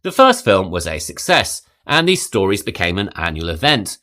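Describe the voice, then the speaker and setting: A very low-voiced man delivers a scripted film review, his delivery lively and rising and falling in pitch. He sounds measured and articulate, with a light touch of opinion. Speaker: podcast host.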